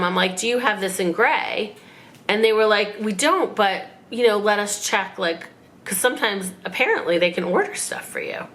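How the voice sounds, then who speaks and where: A woman in her forties, raspy from a cold, chatting and rambling in a casual haul vlog at home. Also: medium pitch, fairly bright tone. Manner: chatty, rambling, self-mocking. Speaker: fashion vlogger. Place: living room at home.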